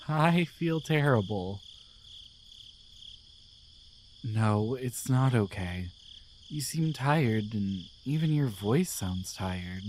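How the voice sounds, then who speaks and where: Slow, tender male guardian angel, acted by a man. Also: fairly low pitch, slight background noise. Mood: caring, soothing. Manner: soft, tender, hesitant, soothing. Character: devoted, gentle male guardian angel in love with their human. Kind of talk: intimate romantic ASMR roleplay.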